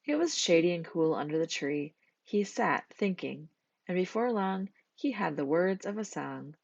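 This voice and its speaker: A woman's voice: on the low side, big pitch swings, moderate pace, gravelly, a little mellow, no room sound, dull audio. A woman reading a scripted children's story as its narrator. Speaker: storybook reader.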